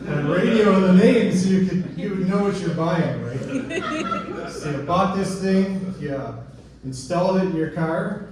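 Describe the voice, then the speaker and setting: A middle-aged Canadian man performing self-deprecating stand-up to a live audience in a halting, informal way. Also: sits high, a crowd in the background. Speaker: stand-up comedian. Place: live comedy venue with audience. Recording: echoey live room, distant mic, audience noise.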